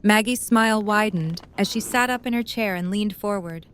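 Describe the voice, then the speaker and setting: A woman's voice: medium pitch, moderate pace, a little hiss or hum. A woman narrating a scripted third-person story as part of an audio drama.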